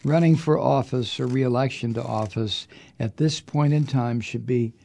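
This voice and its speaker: Gravelly, angry podcast host man. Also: mid-range voice, moderate pace, mellow. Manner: angry, profane, emphatic ranting. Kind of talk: political commentary monologue.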